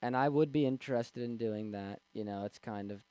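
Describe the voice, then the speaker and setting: A man in his twenties with a young, slightly squeaky voice, casually rambling and answering chat on a livestream. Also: a little fast, warm tone, clean tone, dry recording. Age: 20s. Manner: rambling, with fillers and restarts. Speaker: streamer reading chat. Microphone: desk mic.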